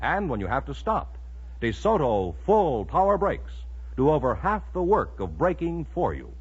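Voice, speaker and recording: A man's voice: a little high, sing-song, moderate pace, slightly rough. An American man reading a 1950s radio commercial with a polished, emphatic sales delivery. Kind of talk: 1950s radio advertising read. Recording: muffled vintage radio recording.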